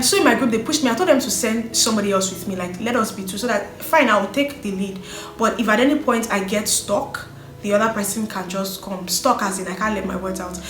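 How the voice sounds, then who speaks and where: A woman telling her own life story in an earnest, animated way. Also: medium pitch. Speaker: vlogger sharing her story. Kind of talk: personal storytelling. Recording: echoey room with faint music.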